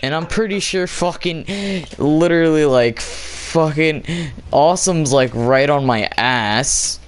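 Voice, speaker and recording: A man's voice: on the high side, slow, clean tone. A man streaming a game, chatting casually and rambling with lots of swearing. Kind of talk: casual gaming chat. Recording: noisy voice chat audio.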